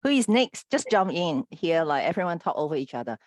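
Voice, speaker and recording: Low-voiced woman coach on a video call. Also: sing-song, no room sound. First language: Cantonese. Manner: brisk and encouraging, quick to praise. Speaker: group coach and call host.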